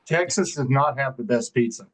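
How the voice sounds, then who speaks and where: A man's voice: mid-range voice, moderate pace. A man guest in a casual chat, throwing in blunt, playful quips.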